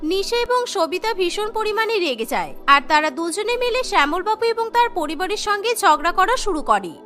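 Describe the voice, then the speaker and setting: Female cartoon story narrator, very high bright voice, scripted delivery. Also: very animated, brisk, faint music behind.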